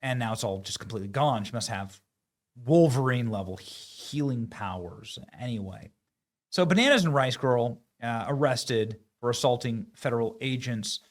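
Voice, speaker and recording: A man's voice: mid-range voice, animated, moderate pace. A man hosting online political commentary, talking in a mocking, sarcastic and emphatic way.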